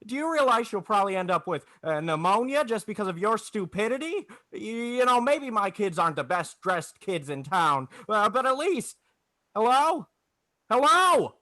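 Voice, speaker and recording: An actor playing an agitated small-town family man in 1940s radio drama, halting and stammering as he talks. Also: sits high, sing-song, bright, forceful tone, dry recording, exaggerated voice. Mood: irritable, frantic. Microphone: close, dry video-call microphone.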